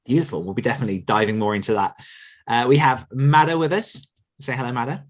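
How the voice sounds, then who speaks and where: A man's voice: mid-range voice, fast. A man hosting a casual group podcast, inviting guests to introduce themselves. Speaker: podcast host.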